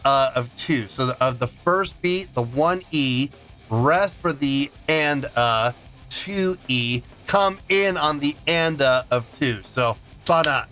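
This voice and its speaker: Male music reaction host, slightly high-pitched, conversational reviewer who restarts and repeats points for emphasis, faint music underneath. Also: bright, forceful tone. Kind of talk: casual song review to camera.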